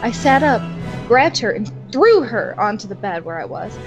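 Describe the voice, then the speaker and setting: A woman's voice: medium pitch, very animated, moderate pace, music in the background. A young woman reading fan fiction aloud in a mock-dramatic way and adding sarcastic, giggly comments between passages.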